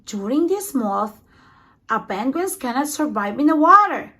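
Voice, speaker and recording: High-pitched, expressive woman reading a children's book. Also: a little slow. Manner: expressive, acts out sound words with emphasis. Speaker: storybook reader. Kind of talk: read-aloud children's nonfiction picture book.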